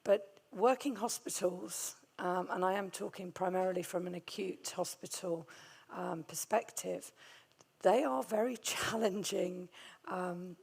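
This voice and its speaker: A middle-aged woman with a hoarse, gravelly voice from a vocal cord nodule, giving a conference talk on hospital infection control with many hesitant ums and a friendly, self-deprecating manner. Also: on the low side, unhurried, darkish tone. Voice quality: gravelly, strained voice. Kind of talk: conference presentation.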